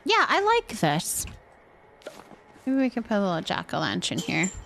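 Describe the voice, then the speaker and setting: A woman's voice: medium pitch, wildly swooping pitch, normal speed, creaky, fairly bright tone, slight background noise. A woman hosting a live game stream, chatting casually and thinking aloud as she goes.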